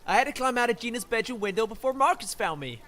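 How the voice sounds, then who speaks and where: A man voicing a cocky, excitable young street hooligan who talks in slang for an audiobook. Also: very high voice, quick pace, pitched above the performer's own voice, heavily acted. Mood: defensive. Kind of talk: audiobook character voice.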